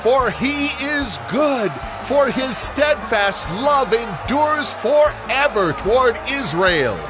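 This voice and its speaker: A chorus of temple singers in a high, drawn-out chant. The pace is very slow and stretched. Strong music swells around the voice. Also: male voice, lively intonation, exaggerated voice. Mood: praising.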